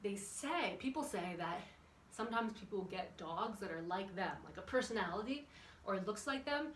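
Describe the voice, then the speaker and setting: A woman's voice: mid-range voice, animated, normal speed. A woman leading a children's workout, chatty and encouraging, putting questions to her young listeners as she instructs. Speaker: kids' exercise and yoga instructor. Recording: echoey room, distant mic, background noise.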